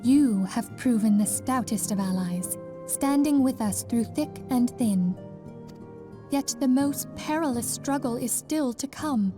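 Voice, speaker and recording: A woman's voice: on the high side, measured pace, over music, close to an everyday voice. A woman voicing a solemn, gracious leader of a heroic order in formal, earnest fantasy game dialogue. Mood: earnest, hopeful.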